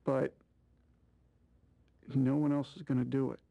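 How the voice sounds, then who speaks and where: A man's voice: mid-range voice, little pitch movement, unhurried, vocal fry, no echo, narrow, tinny audio. A man talking candidly as a soldier in a documentary interview.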